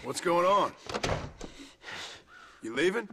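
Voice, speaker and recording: An actor playing a gruff, sardonic Western gunslinger in a video game, terse and gravelly. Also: male voice, high voice, animated, slow. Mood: wry. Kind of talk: video game cutscene acting. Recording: clear background noise.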